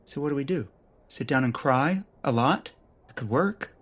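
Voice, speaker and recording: An actor playing an excitable, indignant male scientist turned amateur sleuth, flustered and literal-minded, in a comic audio drama. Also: mid-range voice, moderate pace, breathy edge. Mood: anxious, deadpan.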